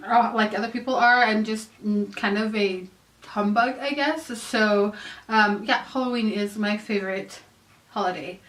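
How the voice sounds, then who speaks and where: A woman in her late thirties from California talks her way through a vlog of personal questions, rambling easily and dotting her sentences with "um" and "like". Her voice sits a little high. She sounds set back from the mic, in a room with a noticeable echo. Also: a bit bright. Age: 30s. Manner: chatty and rambling, many "um"s and "like"s. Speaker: YouTuber. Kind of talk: casual question-and-answer vlog. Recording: roomy echo, mic at a distance.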